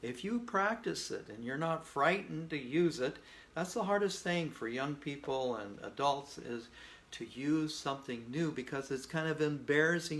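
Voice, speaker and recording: A man with a voice of ordinary pitch talks through a beginner Spanish lesson in a relaxed, folksy way. He is a native English speaker, patient with his learners, and keeps checking in with them. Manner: patient, folksy, frequent "okay?" and "uh" fillers. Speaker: Spanish teacher. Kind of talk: casual spoken language lesson.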